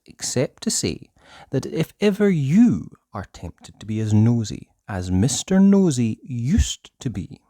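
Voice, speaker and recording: Children's book narrator, theatrical and deliberate, very wide swoops of pitch, slow delivery, dry close sound. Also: sing-song, no room sound. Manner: theatrical, deliberate, with hushed passages and emphatic words. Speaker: storyteller reading a children's book aloud. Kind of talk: expressive children's story narration.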